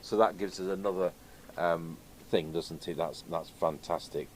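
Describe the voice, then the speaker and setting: Chatty man, lowish voice. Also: fairly even intonation, moderate pace, darkish tone. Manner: chatty, rambling, conversational. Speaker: video host and radio technician. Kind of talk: casual unscripted product chat. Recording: clear background noise.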